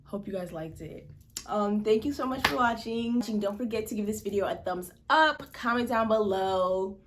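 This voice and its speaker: A young woman from Malawi talks through a personal story in a relaxed vlog and acts out what other people said. Her voice sits at a middle pitch. A faint hum of room noise runs behind her. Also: moderate pace. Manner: chatty, expressive, acts out quotes with pitch jumps. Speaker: vlogger. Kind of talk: casual storytime vlog while eating.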